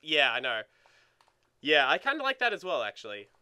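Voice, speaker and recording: Very sharp, lively, highish young man. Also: normal speed, clean tone. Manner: sweary, excitable, repeats words as he gets going. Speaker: let's play co-host. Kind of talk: casual chat while playing a video game.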